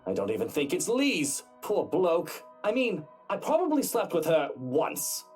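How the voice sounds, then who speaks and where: Theatrical cad, swooping voice. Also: male voice, a little high, wildly swooping pitch, a little slow, a little mellow. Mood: evasive, defensive. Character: theatrical, sardonic, hard-drinking wealthy husband, evasive charmer. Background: game music.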